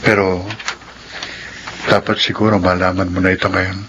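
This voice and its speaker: A man playing a serious, caring doctor who confides gravely in a radio drama. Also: low-pitched, flat delivery, normal speed, dull audio, noisy background, lightly acted. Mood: grave, confiding. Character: earnest caring male doctor confiding a secret. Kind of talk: Tagalog radio drama acting.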